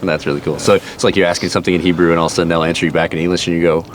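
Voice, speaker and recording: A man's voice: deep voice, little pitch movement, rapid-fire, slight background noise. A man hosting a casual interview, eager and chatty with rambling questions. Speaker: host.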